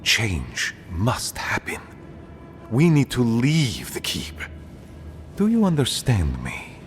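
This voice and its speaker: An actor playing an aging dwarf, a weary, pragmatic conspirator speaking gravely and earnestly in a fantasy game. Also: male voice, mid-range voice, wildly swooping pitch, takes it slowly, soft background music. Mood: bleak, urgent. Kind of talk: dramatic game dialogue.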